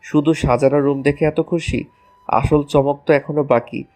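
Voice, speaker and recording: A man's voice: fairly high pitch, steady tone, moderate pace, mellow, soft background music, lightly acted. A man playing a playful, romantic young husband in an audio love story, teasing and tender.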